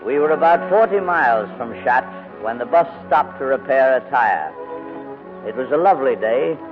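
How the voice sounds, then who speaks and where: Guy doing a fussy old gentleman in a 40s radio play, formal n hesitant but kindly, voice swoops around, muffled old recording. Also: mid-range voice, expressive, normal speed, natural voice. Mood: somber narrating. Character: crusty, reluctant but kindly old English gentleman guardian. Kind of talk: 1940s radio acting. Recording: muffled vintage 1940s radio recording, music.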